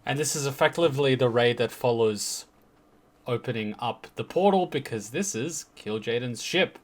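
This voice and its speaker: Man, casual reaction commentary, average pitch, talky and loose. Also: moderate pace. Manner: relaxed, chatty, with restarts. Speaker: host reacting to game cinematics.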